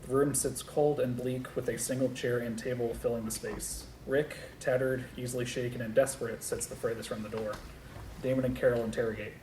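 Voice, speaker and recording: A man's voice: steady tone, fairly quick, darkish tone. A man reading scripted stage directions aloud as narrator at a table read. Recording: echoey room with low rumble.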